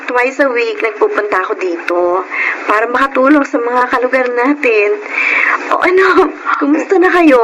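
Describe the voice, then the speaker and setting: A woman's voice: fairly high pitch, lively intonation, normal speed, noisy background, close to an everyday voice. An actress playing a shy, obedient young woman in a Filipino radio drama. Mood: calm, pleased.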